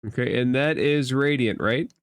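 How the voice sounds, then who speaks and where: A game master narrating a tabletop game in a measured, matter-of-fact way. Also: mid-range voice, smooth, no echo.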